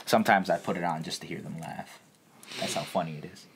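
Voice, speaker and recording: A man's voice: low-pitched, steady tone, quick pace, gravelly, a crowd in the background. Man in slangy, sweary casual chat. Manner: slangy, sweary bro talk. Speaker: podcast co-host.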